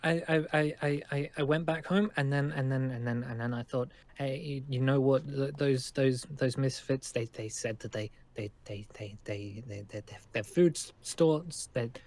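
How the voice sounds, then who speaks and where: A man playing a nervous, friendly young survivor in an improvised game roleplay, speaking in halting, rambling stammers. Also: medium pitch, fairly even intonation, darkish tone, natural voice. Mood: nervous, eager. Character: nervous, friendly young male zombie-apocalypse survivor living at a school.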